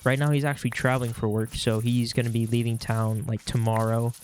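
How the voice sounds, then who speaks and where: A man's voice: medium pitch, flat delivery, fairly quick, noisy background. A young man with a stuffy nose, chatting casually and rambling while he plays a game. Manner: rambling, with many fillers. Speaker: Minecraft vlogger. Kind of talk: casual chat while gaming.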